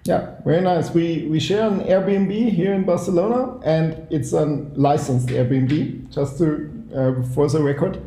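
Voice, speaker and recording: A man's voice: fairly high pitch, a little slow, few pauses. A man hosting a casual group podcast recorded in a shared apartment, chatty and leading the round. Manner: relaxed, chatty, leads the round. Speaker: podcast host. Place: shared apartment room at night. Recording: echoey room.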